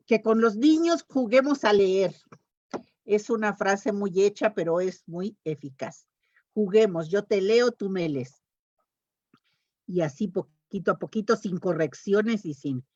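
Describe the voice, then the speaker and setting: Slow-talking woman, dry muffled sound. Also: mid-range voice, warm tone, no room sound. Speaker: panelist. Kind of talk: informal panel talk. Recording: dull lo-fi video call audio.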